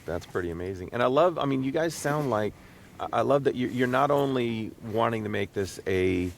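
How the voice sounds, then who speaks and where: A middle-aged man hosting a casual interview, often halting and repeating words as he gathers his thoughts. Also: expressive, creaky. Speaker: podcast host and interviewer. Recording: low rumbling background noise.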